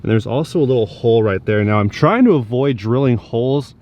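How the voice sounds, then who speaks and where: A man's voice: mid-range voice, a little fast. A man vlogging casually to his viewers, talking in an informal, slangy, upbeat way. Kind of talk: casual vlog chat to viewers. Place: in and around a truck. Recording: steady vehicle and outdoor background noise.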